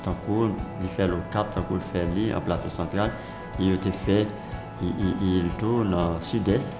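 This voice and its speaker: A man's voice: low-pitched, steady tone, normal speed. A man with a Haitian accent giving interview remarks for a documentary. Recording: echoey room, music bed behind.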